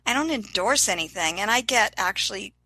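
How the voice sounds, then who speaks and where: A woman's voice: medium pitch, animated, a little fast, bright, forceful tone, rough recording. An older woman talking as an interview guest, upbeat and encouraging in a casual motivational tone.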